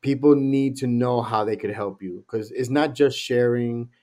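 Man, middle-aged, medium pitch, conversational interview host, video call. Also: normal speed, mellow. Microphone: computer video-call microphone.

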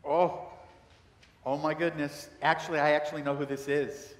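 Pastor guy chatting with kids up front, fairly high voice, long church echo. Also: flat delivery, normal speed. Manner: gentle, asks kids many questions. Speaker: pastor giving a children's sermon. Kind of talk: children's sermon, talking with kids. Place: large church sanctuary.

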